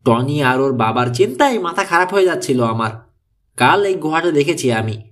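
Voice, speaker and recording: Guy doing a worried mom in an audiobook story, wary n anxious, really animated delivery. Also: on the high side, normal speed. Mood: worried, explaining. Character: worried, wary American mother posing as Mexican. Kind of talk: audiobook character dialogue.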